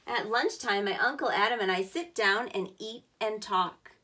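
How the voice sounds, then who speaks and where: A woman's voice: medium pitch, normal speed, sharp tone. A woman in her thirties reading a picture book aloud to preschoolers as their teacher, with simple, encouraging explanations, recorded indoors a short distance from the microphone. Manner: clear, simple, encouraging address to young children. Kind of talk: children's story read-aloud with explanatory asides.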